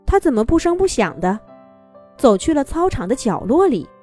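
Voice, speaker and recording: A woman narrating a children's story in a gentle, expressive, singsong way. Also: high voice, moderate pace. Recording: soft background music.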